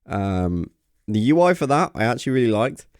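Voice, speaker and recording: A man's voice: mid-range voice, big pitch swings, normal speed, clear, resonant tone, no echo, studio quality. A man casually talking about a game update on his channel, enthusiastic and rambling with lots of fillers, his voice getting a little worn. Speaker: gaming channel host. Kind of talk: casual vlog commentary.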